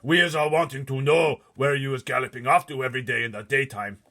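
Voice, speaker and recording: A huge man-eating giant from a storybook, roars with a booming, pushed-up voice. He is a menacing bully who drags out his taunts at full shout. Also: fairly even intonation. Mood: taunting, menacing. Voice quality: booming, roaring. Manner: shouted, drawn-out taunting delivery.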